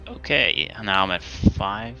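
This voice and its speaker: Male tabletop player, low creaky voice with a hard bright edge, casual and wry. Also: expressive, slow pace, muffled recording, soft background music. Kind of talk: casual game chat.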